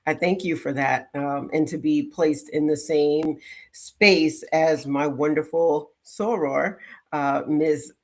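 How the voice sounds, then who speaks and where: Low-key middle-aged woman giving reflective answers. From born in Jamaica, raised in Brooklyn, United States. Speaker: guest, life coach and educator. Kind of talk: conversational interview answers.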